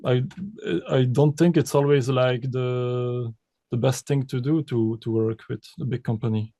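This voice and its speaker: A man from Belgium, a composer and pianist, answering interview questions in halting with many restarts and repeated words. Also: medium pitch, steady tone, dark tone, dry recording.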